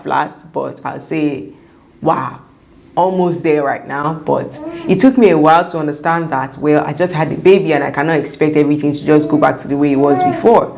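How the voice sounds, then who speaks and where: A young woman with a Nigerian accent speaks in a low register with plenty of creak. She chats directly to her viewers as a vlogger, offering advice in an emphatic way and repeating words to press a point home. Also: darkish tone. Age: young adult. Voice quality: slightly croaky. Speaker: vlogger sharing first-time mom advice. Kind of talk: chatty advice vlog to camera.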